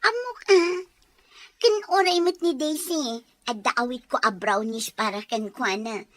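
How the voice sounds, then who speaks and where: High-voiced friendly Ilocano wife played by a woman. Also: a little slow, fairly bright tone, no echo. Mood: friendly, generous. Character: cheerful married Ilocano woman, generous friend and homemaker. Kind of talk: acted family radio-style drama dialogue.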